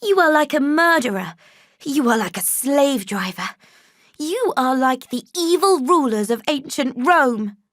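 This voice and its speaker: A defiant young orphan girl, fierce and passionate, in a storybook reading, played by a woman. Her voice rises high and firm when she protests. The sound is close and dry. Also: animated, unhurried, fairly bright tone, no room sound. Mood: furious.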